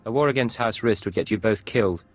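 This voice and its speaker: A confident imperial agent acted by a man for a video game. His voice sits fairly low, and he speaks with blunt, cool defiance. Soft music runs behind him. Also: quick pace, dry recording, lightly acted. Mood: cool, defiant. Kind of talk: dramatic video game acting.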